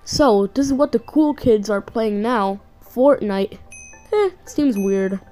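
A woman's voice: a little high, takes it slowly, dark tone, soft background music. A woman voicing a protective, foul-mouthed stick-figure father in a comedic amateur cartoon fan dub. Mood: unimpressed. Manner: slangy, sweary, melodramatic.

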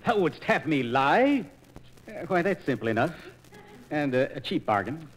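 An actor playing a slow-witted, willing would-be jester in a 1940s radio operetta, speaking in a halting, theatrical way. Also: male voice, fairly high pitch, very animated. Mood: pondering. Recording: noisy 1940s radio transcription disc.

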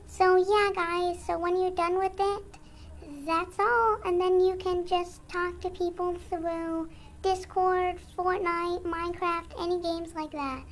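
A young speaker putting on a squeaky little kid voice through a pitch-shifting voice changer. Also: flat delivery, slow pace, exaggerated voice. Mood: upbeat.

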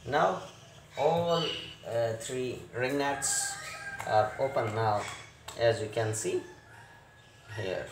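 A man explains a repair step by step as a non-native English speaker, in an unhurried, hesitant way with short broken phrases. His voice sits at an ordinary pitch. The recording is roomy and echoey, with clear noise behind him. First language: not English. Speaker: repair tutorial presenter. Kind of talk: hands-on repair tutorial narration.

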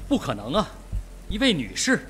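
An actor playing a calm, reassuring male doctor in a radio drama. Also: high voice, normal speed, slight background noise, heavily acted. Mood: calm, concerned.

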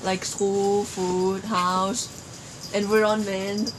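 A woman's voice: medium pitch, little pitch movement, drawn-out words. A teenage girl from Thailand with a Thai accent, speaking simple English in a giggly casual chat outdoors. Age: teens. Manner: giggly, simple phrasing, cheerful cheers. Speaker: exchange student vlogger. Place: outdoor porch by woods. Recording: outdoor ambience noise.

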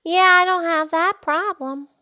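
A woman voicing an excitable, childlike pig puppet with an eager, chatty, exclamatory manner in a children's puppet show. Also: very high voice, unhurried, full character voice. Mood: cheerful, eager. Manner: eager, exclamatory, repeats phrases.

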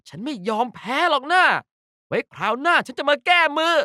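A woman voicing a cute, energetic, pouty young girl mascot for a card game, playful and emphatic in an anime-like style. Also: high-pitched, brisk, no echo, studio quality, full character voice. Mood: spirited. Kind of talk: character voice pack lines, anime-like acting.

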